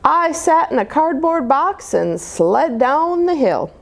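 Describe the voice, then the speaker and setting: A woman's voice: high voice, big pitch swings, slow, vocal fry, slight background noise, voice pushed up, exaggerated voice. A woman reading the part of a kindly grandmother in a storybook, reminiscing wistfully about simple homemade play. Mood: fond reminiscing.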